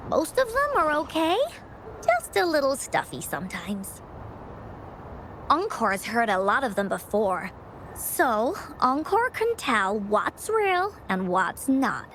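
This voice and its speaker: A woman's voice: high-pitched, a bit bright, a little hiss or hum, full character voice. A woman voicing a quirky, confident little girl in a video game, with playful, childlike pep. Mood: earnest. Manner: speaks of self in third person, childlike pep. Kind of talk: video game character acting.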